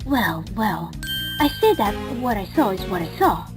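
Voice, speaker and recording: A woman playing a chatty, excitable, evasive witness in a courtroom game fandub. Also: mid-range voice, a little slow, over music. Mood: defensive. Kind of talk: anime game fandub acting.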